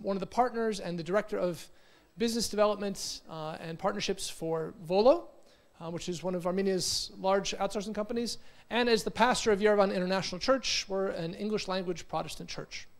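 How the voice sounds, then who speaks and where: An American man in his forties giving an energetic motivational conference talk, telling stories and often repeating words. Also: high voice. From United States. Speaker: conference speaker. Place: conference hall.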